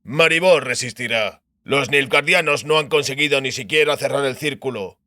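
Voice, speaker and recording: A man voicing a guarded royal envoy in an audiobook, terse and quietly defiant with dry irony. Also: mid-range voice, normal speed, no echo, natural voice. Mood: firm.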